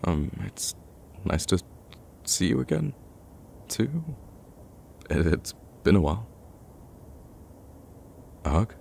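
A man playing a betrayed, protective young male friend in an emotional audio roleplay, speaking haltingly with sighs and stammers. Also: low-pitched, big pitch swings, vocal fry, darkish tone, a little hiss or hum, heavily acted. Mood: awkward, hesitant. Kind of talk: emotional ASMR roleplay acting.

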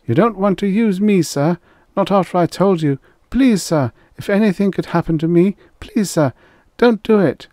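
A man's voice: high voice, moderate pace, warm tone, no echo, clean studio sound, voice pushed up, close to an everyday voice. A man voicing a frightened, servile hunting guide who stammers and pleads as he reads a science fiction story. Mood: begging, terrified. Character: terrified, pleading, cowardly male hunting guide.

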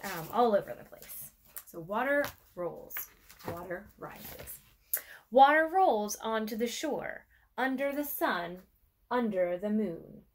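A woman in her thirties reading aloud to children as an educator, in an expressive storytime style with measured, deliberate phrases and pauses. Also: mid-range voice. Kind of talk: expressive children's picture-book read-aloud. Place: small furnished room with armchair and bookshelf, indoors.

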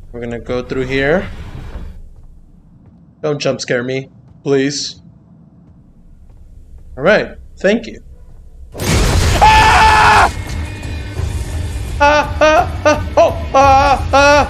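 A man's voice: high voice, animated, drawn-out words, pauses often, a bit bright, soft background music. A man giving reaction commentary on a horror game, jumpy and exclaiming, swearing and repeating himself. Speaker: gaming video creator.

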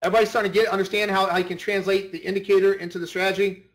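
A man's voice: sits high, flat delivery, rapid-fire, low-quality recording. A man leading an informal screen-share tutorial as a trading instructor, talking emphatically, repeating himself and checking that listeners follow.

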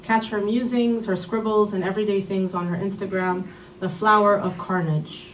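A woman's voice: mid-range voice, steady tone, normal speed, a little hiss or hum. A woman hosting an open mic at a community arts center, speaking into a podium mic in a chatty, encouraging way as she introduces the featured poet. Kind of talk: event emcee introduction. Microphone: podium mic on a stand. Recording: echoey room, mic heard from a distance.

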